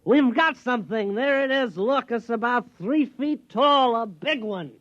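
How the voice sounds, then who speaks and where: An actor playing an eager, earnest, excitable amateur specimen hunter in a vintage radio comedy. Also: male voice, very high voice, measured pace, no room sound, soft background music, full character voice. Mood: excited. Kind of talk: 1950s radio comedy acting. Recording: dull vintage radio recording.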